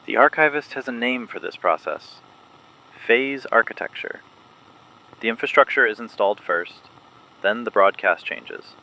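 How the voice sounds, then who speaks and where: A man playing a grave resistance informant in a scripted dystopian radio broadcast, speaking in clipped, deliberate, ominous phrases. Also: medium pitch, lively intonation, pushed and bright, poor audio. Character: grave male resistance informant explaining a sinister secret process.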